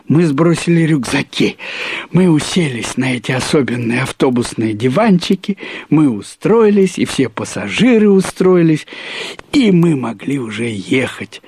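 Husky man telling a literary story over music. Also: medium pitch, darkish tone, no echo. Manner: deliberate, wryly humorous, with repetitions and pauses. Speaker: narrator reading a short story. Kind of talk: literary first-person storytelling.

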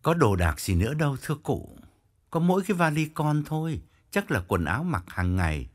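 A man voicing an earnest, respectful male driver in his own husky voice. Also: on the high side, sing-song, brisk, voice pushed up.

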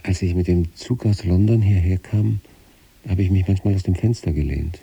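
A dark, husky, brooding male patient. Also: deep voice, moderate pace, full character voice. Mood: introspective, estranged. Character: troubled, detached male sanatorium patient writing letters to his wife. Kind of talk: radio play monologue, letters read as inner voice.

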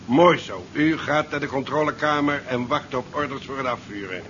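An actor playing a formal, dutiful naval commander giving orders in a 1970s Dutch radio drama. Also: male voice, mid-range voice, moderate pace, natural voice. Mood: formal, commanding. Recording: dull, hissy 1970s radio play recording.

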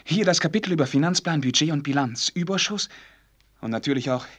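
An actor playing an earnest, sheltered young prince in a German radio drama, courtly and eager, with hesitant phrasing. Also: male voice, fairly high pitch, lively intonation, brisk, a bit bright. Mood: eager, studious.

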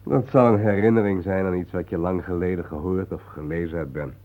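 An actor playing a calm, practical, sceptical male friend in a radio play, talking in a matter-of-fact, conversational way. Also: sits low, a little fast, lightly acted. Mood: calm, reasoning. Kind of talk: Dutch radio play acting. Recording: thin phone-like old radio play recording.

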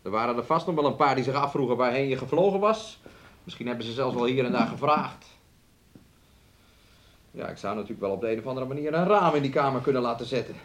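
A man's voice: mid-range voice, lively intonation, fast, fairly bright tone, lightly acted. An actor in a Dutch radio play playing a controlling, possessive man who coaxes and needles with repeated questions. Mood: coaxing, controlling. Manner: coaxing, needling, repetitive questions, refers to himself in third person. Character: possessive, controlling man keeping his sick fiancée hidden away.

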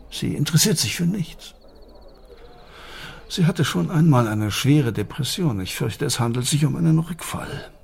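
An actor playing a courteous, fatherly older gentleman in a radio drama, formal and persuasive, with a creaky voice. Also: medium pitch. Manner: courteous, formal, hesitant in places. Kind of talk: German radio drama acting.

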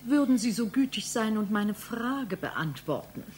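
A woman's voice: on the high side, big pitch swings, measured pace, a little mellow, slight background noise. A woman acting in a German radio play as an officious, unruffled receptionist, formal, insistent and reproachful. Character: officious, unruffled female receptionist guarding a director's anteroom.

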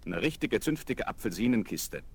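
Low-voiced, unflappable young aviator. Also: male voice, big pitch swings, moderate pace, gravelly, darkish tone, breathy edge, exaggerated voice. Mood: wry, unflappable. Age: young adult. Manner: breezy, dryly ironic, unflappable. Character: self-assured, wry young male aviator. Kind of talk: German children's radio play acting. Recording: noisy vinyl radio-play recording.

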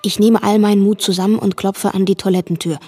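An actress voices a watchful, uneasy young girl telling her story as it happens. Her voice is husky and measured, with light music behind it, in the style of a literary radio play. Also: mid-range voice, little pitch movement, dark tone, lightly acted. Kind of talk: literary radio drama narration.

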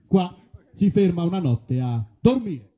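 A man's voice: high-pitched, sing-song, unhurried, narrow, tinny audio. A man narrating a live comedy reading in a theatrical, mock-epic, rambling manner. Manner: theatrical, absurd rambling lists.

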